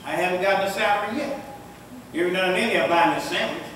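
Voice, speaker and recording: A middle-aged man, an imam, giving a Friday sermon at a mosque in a conversational, joking way that builds to emphatic shouts. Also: high voice, quick pace, a little hiss or hum. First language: English. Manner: conversational preaching, jokes and laughs, rises to emphatic shouts. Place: mosque room with tiled walls. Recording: very echoey hall, taken from a distance.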